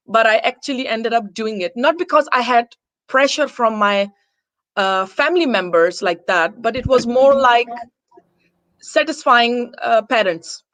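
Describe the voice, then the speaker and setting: Female interview guest from Denmark, fairly high voice, personal storytelling, dry sound. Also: unhurried, fairly bright tone, dry recording, low-quality recording. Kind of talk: personal account in casual interview.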